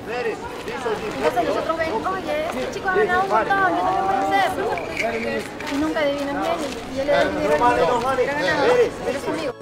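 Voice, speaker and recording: A woman's voice: high-pitched, over a noisy crowd. A young woman casually explaining local tips and history outdoors in a crowded plaza. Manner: quick, explanatory. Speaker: local guide, cousin of host.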